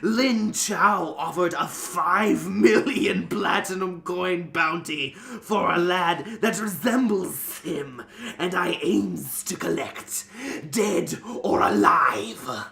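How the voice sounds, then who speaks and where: A man voicing a menacing, greedy cobra-man thug with rough diction in an amateur fantasy story reading. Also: high-pitched, takes it slowly, few pauses, bright, forceful tone, reverberant, exaggerated voice. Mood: threatening.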